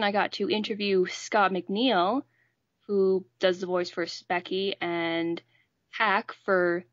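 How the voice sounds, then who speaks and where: A woman's voice: mid-range voice, no room sound, muffled recording. A woman in her twenties chatting on a podcast, friendly and a little hesitant. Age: 20s. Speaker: podcast co-host. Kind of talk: casual podcast chat.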